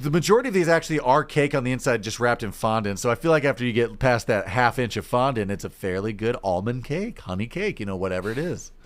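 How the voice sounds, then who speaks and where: Fast-talking man, chatty podcast co-host. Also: mid-range voice, lively intonation. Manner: chatty, informal, question-driven. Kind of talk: casual chat about sweets.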